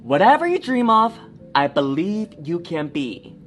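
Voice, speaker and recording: Woman playing a tender, unhurried storybook mother. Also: fairly low pitch, very animated, faint music behind. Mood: loving, reassuring. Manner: tender, reassuring. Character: loving, tender mother singing or speaking to her child.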